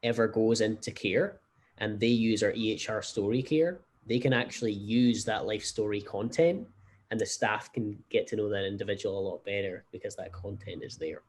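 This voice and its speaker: A man from Scotland with a noticeable Glasgow accent and a somewhat low voice. He talks in a measured, explanatory way, dropping in the occasional "um". He sounds like a guest on a remote interview call. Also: a little mellow, slight background noise. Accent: Scottish, Glasgow. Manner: measured, explanatory, frequent fillers. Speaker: startup founder, guest. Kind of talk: conversational interview.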